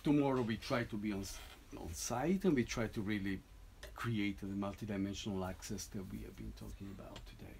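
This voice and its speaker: Man explaining his piece haltingly. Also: fairly low pitch, creaky, darkish tone, slight background noise. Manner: halting, many restarts and tag "no?". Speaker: composer presenting a piece. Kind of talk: informal talk to an audience.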